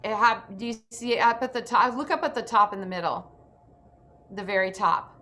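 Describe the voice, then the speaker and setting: A woman's voice: medium pitch, brisk, bright, forceful tone. A woman hosting a workshop, explaining informally over a video call with slight background noise. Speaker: workshop host and photographer. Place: online video call, speaking through computer.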